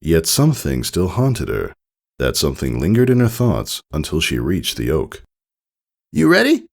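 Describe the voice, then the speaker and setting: Deep, gravelly man narrating a story. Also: sing-song, moderate pace, a little mellow. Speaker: fan fiction reader. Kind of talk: expressive scripted story narration.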